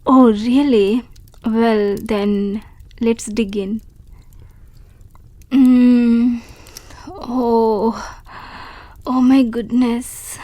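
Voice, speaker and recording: A bashful young wolf creature, played by a woman, talks slowly and gently in a raised, mellow voice. She is polite and hesitant and often stumbles over her words. The sound is close and dry. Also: fairly high pitch, steady tone, dry recording. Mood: awestruck. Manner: soft, polite and hesitant, with stammers. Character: shy grateful young female wolf creature. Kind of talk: audio roleplay.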